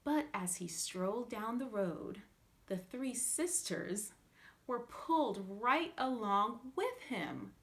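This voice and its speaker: A woman reading a fairytale aloud as a children's storyteller, with theatrical, drawn-out emphasis. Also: a little high, big pitch swings, a bit bright. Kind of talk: scripted fairytale narration for children.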